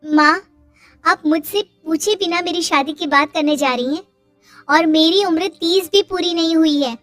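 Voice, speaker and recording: A woman's voice: very high voice, unhurried, fairly bright tone, no room sound, faint music behind. A woman playing a young unmarried schoolteacher daughter, defensive but firm, in a cartoon drama. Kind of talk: Hindi cartoon dialogue.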